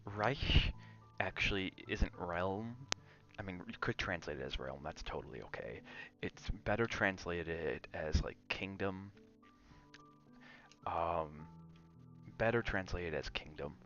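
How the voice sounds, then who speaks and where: A man's voice: sits low, slow pace, fairly bright tone, dull audio, faint music behind. A man chatting casually on an ASMR gaming livestream, rambling and drifting between chat and game reactions. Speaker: game streamer.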